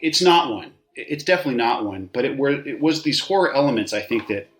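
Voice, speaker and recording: A man's voice: medium pitch, lively intonation, fairly quick, a bit bright, soft background music. A man giving casual, off-the-cuff spoken commentary as a listener. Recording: echoey, roomy sound.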